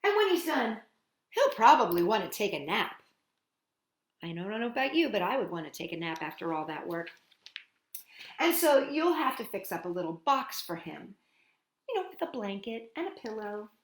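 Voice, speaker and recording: A woman hosting storytime, reading a picture book aloud to young children in an animated, playful way with chatty asides. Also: medium pitch, normal speed, pauses often, reverberant.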